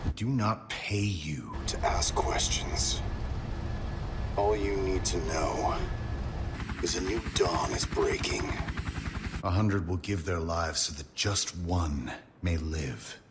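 A cold criminal mastermind from a video game. He speaks very slowly and commandingly in a deep, ominous voice, with loud engine noise around him. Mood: cold menace. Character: cold, imperious male mastermind with grand deadly plans. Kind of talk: video game villain acting. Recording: loud vehicle noise behind.

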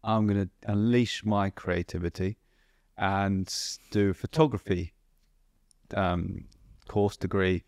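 A man's voice: sits low, steady tone, slow, darkish tone, no echo, studio quality. A young man hosting a podcast, asking casual, playful questions and retelling his guest's story back to him. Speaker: podcast host. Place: bright living-room studio. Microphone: boom-mounted podcast mic.

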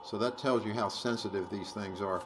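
A man in his sixties presenting a scripted product review in a workshop, brisk and plain-spoken with dry humor, on a lapel mic. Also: lowish voice, noisy background. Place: indoor workshop studio.

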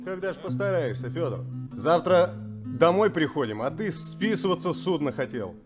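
An actor playing a matey, teasing crewmate in a 1970s Soviet radio play. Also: male voice, fairly high pitch, big pitch swings, moderate pace. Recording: loud guitar behind the voice.

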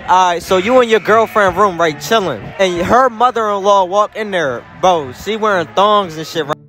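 Teasing young man with a bright voice. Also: high-pitched, faint music behind, poor audio. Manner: playful, teasing, rapid-fire questions. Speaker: street interviewer. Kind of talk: joking public interview and game commentary. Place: school hallways and gym, indoors. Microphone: handheld mic.

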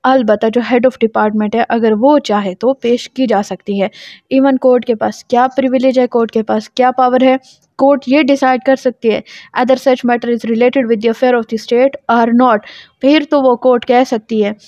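A woman's voice: a little high, fairly even intonation, a little slow, a little mellow, dry recording, lo-fi sound. A woman with a Pakistani accent giving a law lecture, reading formal text aloud and explaining it in an instructional way, repeating her points.

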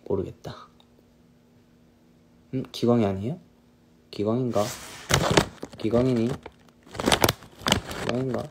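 A man in his thirties chatting casually on a phone live stream, answering viewers' questions in a relaxed, easygoing way. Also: mid-range voice, slow pace, creaky, pauses often, background noise. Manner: relaxed, answers viewers' questions, drifts between snacks and hobbies. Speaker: singer hosting a live stream. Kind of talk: casual live-stream chat reading comments.

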